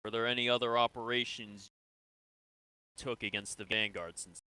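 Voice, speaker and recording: A man playing a measured military interrogator in a sci-fi game role-play, asking probing, deliberate questions. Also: mid-range voice, fairly even intonation, pushed and bright, clean tone, dry recording, rough recording.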